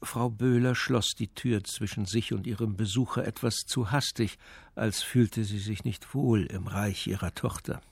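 An actor as the narrator of a radio crime drama, reading scripted narration in a measured way. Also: male voice, fairly low pitch, steady tone, gravelly, a little hiss or hum. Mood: observant.

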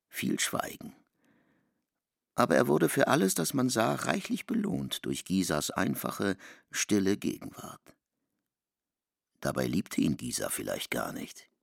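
A man's voice: deep voice, gravelly, dry recording, studio quality. A man narrating literary prose for a radio play, expressively, in pronunciation.

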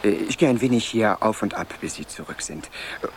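Low-voiced, hesitant, apologetic man played by an actor. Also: animated, fairly quick, slight background noise, close to an everyday voice. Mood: timid, hesitant. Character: timid, polite male clerk, hesitant and apologetic. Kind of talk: 1970s German radio drama acting.

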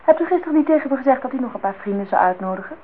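Vrijpostige jonge vrouw, hoge stem. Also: female voice, sits high, lively intonation, racing. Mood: teasing. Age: young adult. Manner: pert, direct, questioning. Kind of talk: radio play acting, intimate dialogue. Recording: tinny, noisy old radio play recording.

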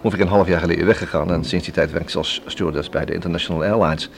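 Radio drama detective: friendly, businesslike inspector with a lowish voice and deliberate delivery. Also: male voice, steady tone, creaky. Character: businesslike male police inspector, friendly and measured.

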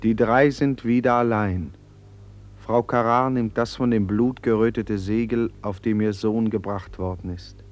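An actor playing a solemn narrator in a radio play, reading stage directions in a measured, sober way. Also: male voice, lowish voice, fairly even intonation, a little mellow, highs cut off, lightly acted.

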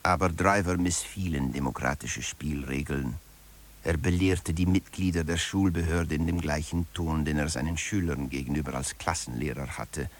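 A man's voice: rumbling low, steady tone, moderate pace. A man delivering scripted narration for a satirical radio feature in a composed, explanatory way.

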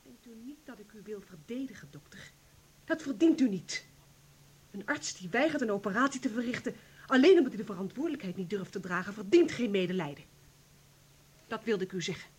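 A woman's voice: mid-range voice, lively intonation, brisk, darkish tone, slightly rough. A woman acting in a Dutch radio play as an impassioned, insistent nurse who accuses and reproaches. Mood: scornful reproach.

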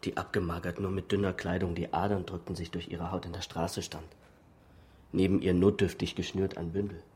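An actor playing a blunt, earnest young man in a radio drama, with a low, husky voice. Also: flat delivery, fairly quick, a little mellow. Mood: quietly insistent. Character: blunt, urgent young man pleading with a woman.

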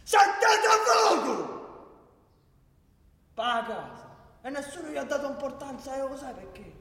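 An actor playing a bitter, sarcastic working-class resident in a radio play, indignant and speaking with a Roman accent. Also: male voice, very high voice, very animated, unhurried, fairly bright tone, heavily acted. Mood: indignant, anguished. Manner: sarcastic, indignant, listing grievances. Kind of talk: theatrical radio play dialogue. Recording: echoey hall.

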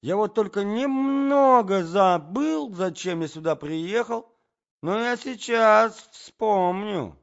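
A doddering, vain old aristocrat played by a male reader pleads in a raised, slow voice that rises and falls freely. He sounds muddled and plaintive, as a storybook prince. The recording is a little dull. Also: high-pitched, expressive, fairly bright tone, pitched above the performer's own voice, heavily acted. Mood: plaintive distress. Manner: muddled, plaintive, lingering on words, affected French phrases.

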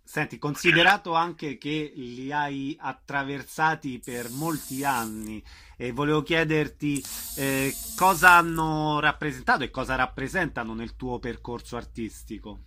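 A man with a mid-pitched, bright voice hosts an informal interview. He phrases his questions conversationally and attentively, as if following the guest closely. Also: a little slow. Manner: attentive, informal questioning with frequent murmured backchannels. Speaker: interviewer and host.

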